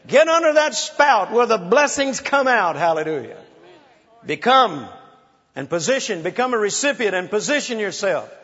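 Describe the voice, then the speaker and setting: Man in his 60s, high-pitched pastor, exhorting, echoing through a big muffled hall. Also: big pitch swings, moderate pace, fairly bright tone. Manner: exhorting, calls for praise, playful storytelling. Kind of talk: sermon. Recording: muffled sound in a large reverberant hall.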